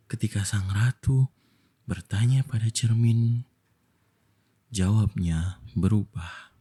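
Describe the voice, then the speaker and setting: A young Indonesian man with a soft, breathy voice, telling a bedtime story in an intimate ASMR style, playful and affectionate like a boyfriend. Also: on the low side.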